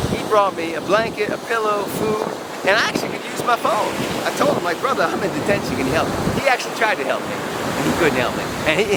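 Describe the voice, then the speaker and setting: A man telling a first-person story to camera like a boastful, playful showman, outdoors. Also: high-pitched. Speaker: sports travel vlogger, storyteller. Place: outdoors on a windy beach. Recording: loud surf and wind noise outdoors. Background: ocean waves, wind, surf.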